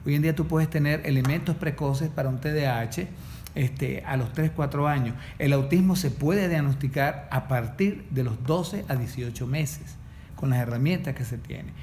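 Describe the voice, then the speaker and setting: A man, a guest specialist on a podcast, explaining things calmly and clinically. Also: mid-range voice, normal speed, slight background noise. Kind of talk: podcast interview.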